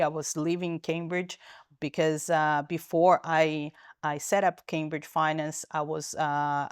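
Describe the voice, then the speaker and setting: Woman, low voice, smooth and clear, dry close sound. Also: no room sound. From Brazil. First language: Portuguese. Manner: thoughtful, frequent restarts and fillers. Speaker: company founder and guest. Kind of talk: interview answers.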